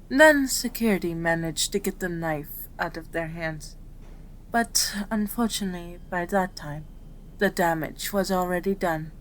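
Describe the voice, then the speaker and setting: A woman voicing a gentle, caring fox-spirit doctor in an ASMR roleplay, speaking reassuringly to a patient. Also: medium pitch, slight background noise, low-quality recording. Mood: wistful, calm. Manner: soft-spoken, reassuring, addressing a patient directly.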